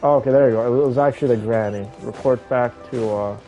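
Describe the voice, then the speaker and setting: A man's voice: mid-range voice, fast, warm tone, soft background music. A man giving casual gameplay commentary, thinking aloud and talking to his viewers. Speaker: game streamer playing and commenting.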